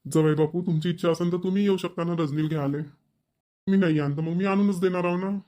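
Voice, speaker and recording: A man's voice: high-pitched, steady tone, quick pace, warm tone, lightly acted. An actor playing a polite, obliging middle-aged father-in-law in a cartoon family comedy, with a Varhadi Marathi accent. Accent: Varhadi Marathi, Vidarbha.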